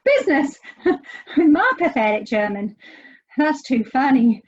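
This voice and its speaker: A woman's voice: high-pitched, big pitch swings, takes it slowly, slightly rough, muffled recording, exaggerated voice. An actress in an audio play playing an anxious, sardonic American woman searching for her estranged sister. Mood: wry, unsettled.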